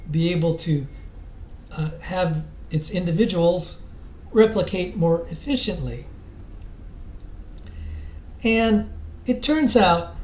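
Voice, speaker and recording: A man giving an informal lecture as a presenter, speaking in a halting way and often interrupting himself. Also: on the high side, a little mellow. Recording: roomy, echoey sound.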